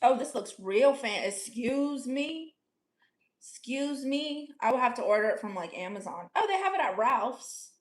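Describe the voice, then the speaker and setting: A woman's voice: a little high, expressive, a little slow. Chatty young woman reading stream comments. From Orlando, Florida. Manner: chatty, upbeat, laughs often. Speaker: livestream host. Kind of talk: casual chat reading viewer comments.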